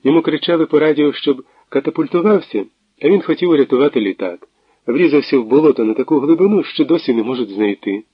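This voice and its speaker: A chatty, mocking young woman on the telephone, her voice lifted high and loud with quick laughter in it, as a male reader puts her on. The sound is dull and dry, like an old lo-fi tape. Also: animated, husky, no room sound. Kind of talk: audiobook reading.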